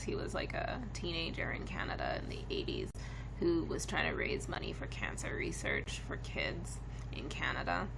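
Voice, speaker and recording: A woman's voice: on the low side, creaky, noisy background. A young woman whose first language is French, chatting casually on a live stream from her living room, rambling with lots of fillers. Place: living room at home, indoors. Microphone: headset with webcam.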